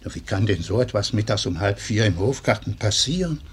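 An actor playing a concerned guardian in a radio play, gently questioning a wounded youth. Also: male voice, on the low side, expressive, fairly quick, slight background noise, lightly acted. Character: caring guardian Herr Meier, anxious and gentle.

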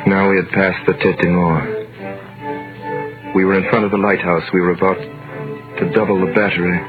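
An actor playing an earnest, trusting young sailor, deferential and anxious, in an old radio drama. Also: male voice, deep voice, flat delivery, moderate pace, over music, natural voice. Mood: somber narrating. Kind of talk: 1930s radio drama acting with first-person narration. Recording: tinny vintage radio broadcast, clear hiss.

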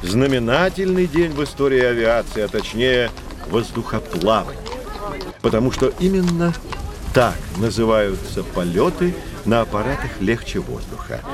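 An actor playing a knowledgeable, patient guide who teaches children in an educational audio drama, with a didactic, emphatic delivery. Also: male voice, mid-range voice, animated, moderate pace, natural voice. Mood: enthusiastic, explanatory.

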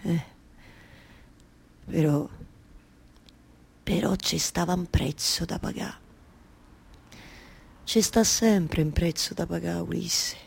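An actress playing a weary, exasperated yet tender waiting wife in a theatrical radio monologue, with a Roman accent and halting, repetitive phrasing. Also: female voice, lowish voice, gravelly, a little mellow, slightly rough, heavily acted. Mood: hushed, melancholy. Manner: halting, obsessively repeating words and phrases.

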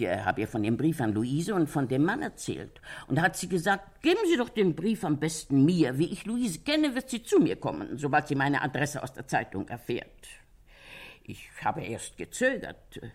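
A woman's voice: lowish voice, darkish tone. An actress playing a chatty, candid female witness in a German radio crime drama.

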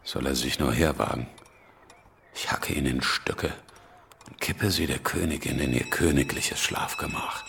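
An actor playing a blunt, swaggering, shrewd revolutionary leader with a gravelly voice in a radio drama. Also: male voice, extremely low pitch, a little slow, a bit bright, a little hiss or hum, exaggerated voice. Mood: menacing. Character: brash, forceful male revolutionary leader, shrewd and earthy. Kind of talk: naturalistic radio drama acting.

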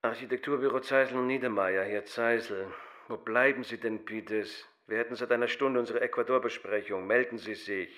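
An actor playing a curt, impatient architect boss leaving an answering-machine message in a radio play. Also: male voice, medium pitch, fairly even intonation, a little fast, a bit bright, close to an everyday voice. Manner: curt, demanding.

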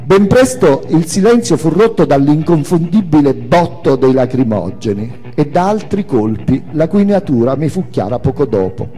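A man giving a dramatic first-person memoir reading for the theatre, in measured narration that rises to declaim. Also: on the high side, mellow. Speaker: reader and narrator. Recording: long hall reverb, music underneath. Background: music.

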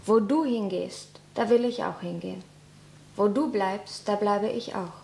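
Quiet, determined young daughter. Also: female voice, medium pitch, unhurried, gravelly. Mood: subdued. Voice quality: quiet, subdued and earnest. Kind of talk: radio play acting. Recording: echoey room with faint hiss.

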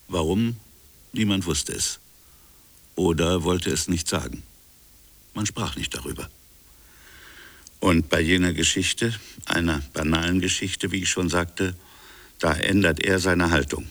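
A man playing a reflective French colonial official in a literary radio drama, recalling a buried affair in musing, fragmentary phrases with a gravelly, husky voice. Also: sits low, fairly bright tone, no echo, full character voice. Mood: guarded, recollecting. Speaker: narrator and protagonist.

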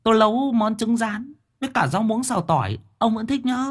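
A wailing, fussy old village wife. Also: male voice, expressive, fairly quick, breathy edge. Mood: fretful. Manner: wailing, rambling, high-pitched whine.

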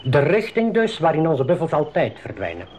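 A man acting as a calm, experienced safari guide who instructs and reassures his group in a radio drama. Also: fairly high pitch, moderate pace, slight background noise. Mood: explaining.

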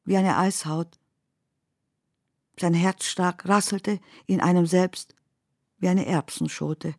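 A woman reciting poetry in a radio play with measured, deliberate phrasing. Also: lowish voice, fairly even intonation, dry recording, clean studio sound. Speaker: poet reading own text. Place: dry studio.

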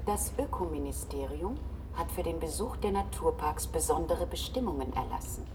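Low-voiced, formulaic service machine. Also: female voice, expressive, moderate pace, far from the mic, background noise. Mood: courteous, unyielding. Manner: formal, formulaic, repeats set phrases. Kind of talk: German science-fiction radio drama acting.

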